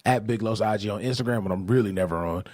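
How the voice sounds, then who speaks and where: A man's voice: on the low side, quick pace, darkish tone, no echo, studio quality. A man co-hosting a podcast with casual, slangy banter and plugs. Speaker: podcast co-host.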